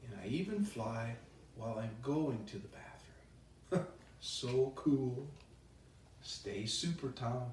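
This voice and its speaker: A man reads a children's story aloud with lively, wide-swinging intonation. His delivery is playful and expressive, slipping easily into chatty asides. The voice sits at a middling pitch and comes through a roomy echo, set back from the microphone. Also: slow pace, long pauses, far from the mic, slight background noise. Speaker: children's author reading his own book. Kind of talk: animated bedtime story read-aloud.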